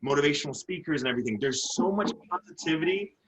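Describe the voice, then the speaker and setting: Earnest motivational speaker guy, bright forceful voice, repeats himself while thinking. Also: on the high side, animated, fast, rough recording. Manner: earnest, repeats words while gathering thoughts. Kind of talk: casual interview talk.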